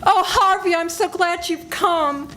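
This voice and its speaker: An older woman playing a high, frightened heroine. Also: measured pace, pushed and bright, slight background noise, full character voice. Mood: anxious. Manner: breathless, anxious, near tears. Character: frightened woman threatened on a lonely island. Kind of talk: amateur old-time radio melodrama.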